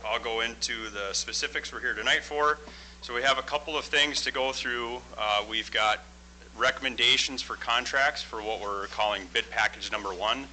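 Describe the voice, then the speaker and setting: A middle-aged man giving a plain-spoken project presentation at a public board meeting, loud and full of fillers. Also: fairly low pitch, slight background noise, poor audio. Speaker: construction project manager presenting. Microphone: microphone.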